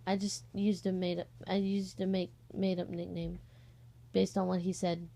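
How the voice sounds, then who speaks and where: Casual young woman with a creaky voice. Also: lowish voice, flat delivery, moderate pace, warm tone. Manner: informal, scattered, easily sidetracked. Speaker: amateur voice actor and video host. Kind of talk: casual rambling vlog chat. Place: on a bed at home, indoors.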